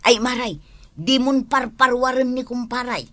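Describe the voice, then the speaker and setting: A woman's voice: a little high, normal speed, sharp tone. A woman playing a gossipy, teasing neighbour in an Ilocano radio drama, with an Ilocano accent and plenty of interjections.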